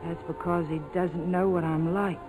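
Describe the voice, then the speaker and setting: An actress playing a troubled, worldly young woman with an emotional, halting delivery in a 1940s radio drama. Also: on the low side, level tone, natural voice, rough recording. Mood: earnest, tormented. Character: troubled young woman torn between two lovers, worldly and guilty. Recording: old 1940s radio broadcast, music underneath.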